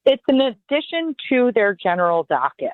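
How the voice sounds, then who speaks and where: A woman in her forties, a judicial candidate, answering interview questions in an earnest, measured, lawyerly way. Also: a little high, big pitch swings, dry recording. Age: 40s. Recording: phone-like line, dry.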